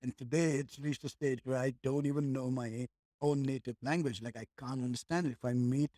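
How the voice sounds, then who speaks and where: Man, podcast guest, mellow voice, slightly high, dry close sound, rambles and restarts. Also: fairly even intonation, fairly quick, dry recording. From India. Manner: rambling, with frequent restarts and "like", "right?". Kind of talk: reflective conversational chat.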